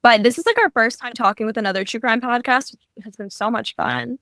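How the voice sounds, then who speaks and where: A woman's voice: on the high side, a little fast, vocal fry, fairly bright tone, dry recording, clean studio sound. A teenage girl hosting a podcast, introducing the episode in a chatty way. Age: teens. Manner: chatty, many likes. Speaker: podcast host. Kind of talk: casual chat, introducing the episode.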